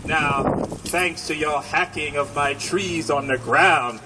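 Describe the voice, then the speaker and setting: A man's voice: fairly high pitch, slow, pitched below the performer's own voice. A man playing an indignant, snappish old forest creature. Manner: snappish, emphatic. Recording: windy outdoor PA, distant, noisy.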